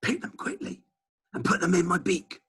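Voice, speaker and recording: A man's voice: high-pitched, big pitch swings, normal speed, bright, forceful tone, breathy edge, pitched above the performer's own voice, full character voice. A man voicing a male pelican who whispers urgent instructions. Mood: hushed urgent.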